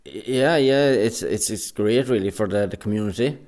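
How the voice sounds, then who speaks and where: An Irish man, a radio drama actor, chatting in an interview with many pauses and fillers in a recording studio. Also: on the low side, a little mellow. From Ireland. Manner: hesitant, many fillers and restarts. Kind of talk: casual interview chat.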